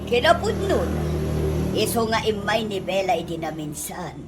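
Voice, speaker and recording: Woman playing an animated middle-aged restaurant-owner aunt. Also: medium pitch, normal speed, over loud music, lightly acted. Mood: explaining. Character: aunt who runs a restaurant, exasperated but fair. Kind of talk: Ilocano radio comedy drama acting.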